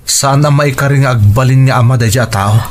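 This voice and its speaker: A man's voice: medium pitch, normal speed, a little hiss or hum, lightly acted. A man playing a resentful, hurt young adult son in a radio drama, indignant and questioning, with an Ilocano accent. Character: resentful, wounded grown son, unwilling to forgive.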